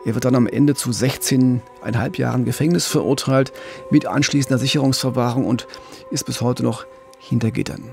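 A middle-aged man co-hosting a true-crime podcast, explaining cases in a measured, factual, journalistic way. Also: mid-range voice, fairly even intonation, creaky, darkish tone, soft background music. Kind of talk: journalistic podcast storytelling in dialogue.

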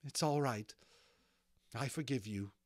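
Storybook role: fierce, wounded peasant woman of middle age, high-pitched and scolding, played by a male reader. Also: unhurried, darkish tone, no room sound, clean studio sound, lightly acted. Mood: gruff, softened. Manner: scolding, anguished outbursts.

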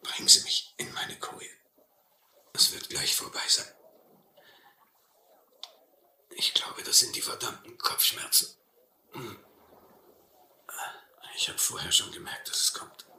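An actor playing a hard, sardonic sea captain in a radio play, speaking in slow, terse phrases. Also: male voice, lowish voice, pushed and bright, exaggerated voice. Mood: pained, weak. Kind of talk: German radio play acting. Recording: roomy, noisy old radio play recording.

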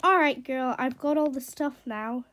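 A woman's voice: high voice, unhurried, a bit bright. A woman playing an easygoing horse owner in a story, chatting casually to her horse. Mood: casual, upbeat.

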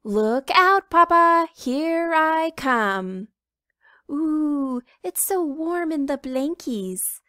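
A woman voicing an excitable little chicken in a picture book read aloud, squealing and sing-song. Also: high-pitched, drawn-out words, dry recording, clean studio sound, pitched above the performer's own voice, full character voice. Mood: excited. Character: excitable little girl chicken, chatty and pushy.

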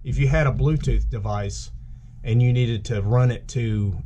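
Male gear reviewer, relaxed mellow tone, casual plain-spoken demo, thinking aloud, audible noise behind the voice. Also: mid-range voice, measured pace, muffled recording. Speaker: gear reviewer, video host. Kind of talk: casual unscripted product demonstration. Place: small room indoors at a tabletop. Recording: clearly audible background noise.

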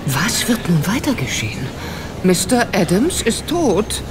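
A woman acting a detective's anxious female companion in a German audio drama, earnest and expressive. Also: mid-range voice, a little slow, noisy background. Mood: curious.

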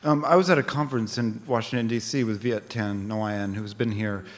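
A man in his forties moderating a stage conversation at a book festival, asking curious, halting questions into a stand mic. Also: on the low side, fairly even intonation. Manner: halting, many fillers and restarts, curious. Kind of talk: onstage literary conversation. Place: theater stage at a book festival, live audience.

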